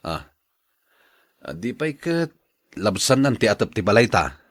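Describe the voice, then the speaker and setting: A man's voice: fairly low pitch, sing-song, measured pace. A man with an Ilocano accent playing a patient, agreeable husband and jeepney driver in a radio drama. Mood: placating.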